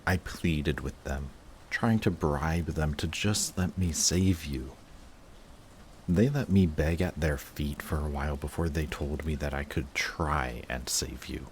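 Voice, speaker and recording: A man playing an emotional villain confessing to his longtime enemy in a dramatic audio roleplay, intense, halting and defensive. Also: rumbling low, a little hiss or hum. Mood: pained, confessing.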